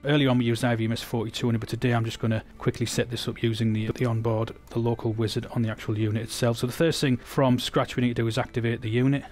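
Flat-voiced English man explaining equipment. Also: mid-range voice, fast talker, few pauses, soft background music. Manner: steady explanatory delivery with frequent restarts. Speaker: technical support demonstrator. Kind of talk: product demonstration tutorial.

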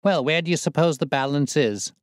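A swaggering young convict ringleader, as a male narrator puts him on, boastful and excitable, raised well above the narrator's own low voice. He talks coarse and loud, sure of himself. The sound is dry and close. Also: brisk, no room sound, close to an everyday voice.

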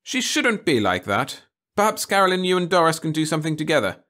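A genteel older schoolteacher, played by a man in an audiobook story. She is prim and gushing, pitched well up, with theatrical pretensions. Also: big pitch swings, fairly quick, bright, forceful tone. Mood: prim, proud.

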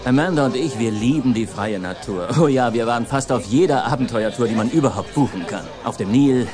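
Actor as eager middle-aged businessman pitching hard. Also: animated, brisk, music in the background, natural voice. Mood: persuasive. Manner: hesitant fillers, ingratiating salesman talk. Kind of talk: German-dubbed film dialogue.

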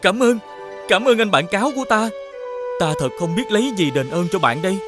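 A man voicing an earnest young prince in an animated fairy tale, speaking expressively. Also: high voice, fairly quick, over loud music. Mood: grateful, eager.

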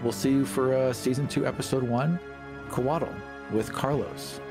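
A man's voice: medium pitch, fairly even intonation, a little slow, over music. A man co-hosting a podcast, talking casually about tabletop games. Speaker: podcast co-host.

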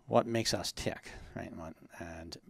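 Gravelly older man, measured and hesitant. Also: sits low, a bit bright, no room sound. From Ontario, Canada. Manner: measured, hesitant, many ums and uhs. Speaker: guest, ergonomist. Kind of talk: interview answers.

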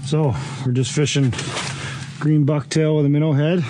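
A young man chatting excitedly to viewers while ice fishing, recorded inside a small tent on a body-worn camera. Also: on the high side, moderate pace, music in the background. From Canada. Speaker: fishing vlogger. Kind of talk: casual first-person narration while fishing. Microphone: action camera worn on the body.

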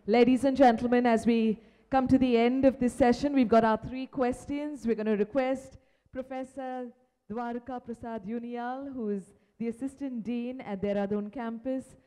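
A woman's voice: fairly high pitch, steady tone, normal speed, dark tone, smooth. A woman hosting a conference session as emcee, with upbeat, polished announcing. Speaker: event emcee. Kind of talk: stage hosting. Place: large conference hall stage. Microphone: handheld mic. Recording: long hall reverb.

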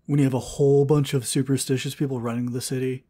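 A man's voice: medium pitch, level tone, quick pace, dark tone, natural voice. A man acting a matter-of-fact, skeptical young townsman in a casual audio drama.

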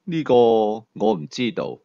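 A cool, condescending investigator in a suspense audiobook, acted out by a male narrator, with a Hong Kong Cantonese accent. He is unhurried and calculating, with expressive pitch. The sound is dry and slightly dull. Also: no room sound. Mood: cool, offhand.

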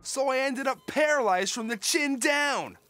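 A man playing a cocky, dim-witted, crude rock musician who tells boastful, slangy stories in an animated comedy. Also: extremely high pitch, measured pace, no echo, raised voice, full character voice. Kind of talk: animated comedy storytelling and banter.

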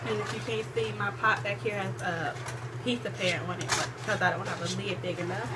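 A woman's voice: mid-range voice, steady tone, brisk, heavy background noise. A woman in her home kitchen, walking through a recipe step by step, thinking aloud and correcting herself as she cooks. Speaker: cooking vlogger. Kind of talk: casual recipe walkthrough. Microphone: camera on the counter.

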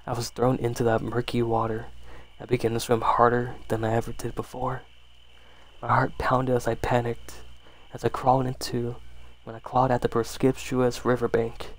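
A man softly reading a horror story in ASMR style, unhurried, with the odd stumble over words. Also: mid-range voice, monotone, low-quality recording.

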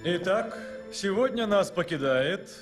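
A man's voice: high-pitched, animated, measured pace, over music. A man voicing an exacting ballet master with a formal, commanding manner in a dubbed cartoon. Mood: solemn, strict. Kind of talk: dubbed animated film dialogue.

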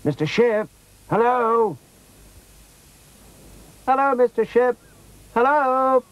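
A man's voice: high voice, big pitch swings, normal speed, dull audio, voice pushed up. A man voicing eager, curious young children in a storybook tale, polite and inquisitive. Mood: excited calling. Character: eager, curious little children, boy and girl.

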